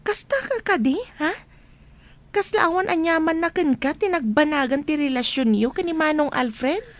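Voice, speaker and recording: A woman's voice: high voice, animated, normal speed. A woman playing a prying, teasing young student friend in an Ilocano radio drama. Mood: prying, reproachful.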